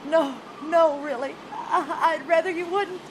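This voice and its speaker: A woman's voice: very high voice, unhurried, noisy background. A woman acting in a live old-time radio drama as an anxious, distraught woman searching for a missing little girl. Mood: weary, fearful. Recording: live stage with music underneath.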